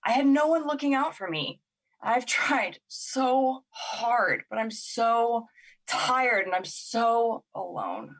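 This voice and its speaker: A woman's voice: on the high side, sing-song, takes it slowly, fairly bright tone, heavily acted, poor audio. A woman playing a confused, weary young woman in an emotional audio drama, questioning and emotionally exposed. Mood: despairing. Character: Olivia, confused, weary, vulnerable young woman finding courage.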